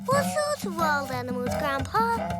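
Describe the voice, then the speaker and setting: A performer playing an eager, excitable five-year-old boy zebra, childlike and expressive, in a children's cartoon. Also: high-pitched, a little slow, loud music behind the voice. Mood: curious.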